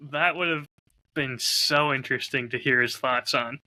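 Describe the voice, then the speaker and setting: A man co-hosting a casual podcast. His voice sits at a middling pitch with a very bright, forward edge. He talks in a measured, low-key way, often softening what he says. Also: poor audio. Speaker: podcast co-host and audio drama creator.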